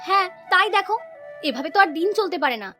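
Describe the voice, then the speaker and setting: A woman's voice: extremely high pitch, expressive, fast talker, sharp tone, soft background music, full character voice. A woman playing an anxious, kindly mother-in-law in a cartoon, motherly and fretful. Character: anxious, kindly middle-class mother-in-law of grown son. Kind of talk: cartoon voice acting, domestic moral story.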